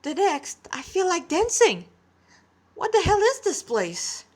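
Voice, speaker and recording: A woman's voice: extremely high pitch, wildly swooping pitch, slow pace, pitched above the performer's own voice, full character voice. A woman voicing a sardonic young dead cadet boy in a story, exasperated and incredulous. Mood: bewildered.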